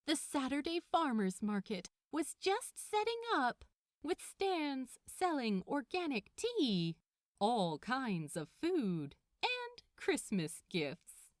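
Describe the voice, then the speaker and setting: A woman narrates fiction with a very lively, swooping delivery. She takes her time over the phrases in a fairly high voice, expressive throughout. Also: slow, no room sound, clean studio sound. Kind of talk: expressive scripted story narration.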